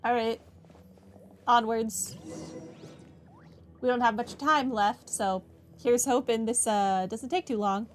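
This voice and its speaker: A woman's voice: fairly high pitch, slow, pauses often, a little hiss or hum. A woman giving casual playthrough commentary as a game streamer, with wry, offhand quips.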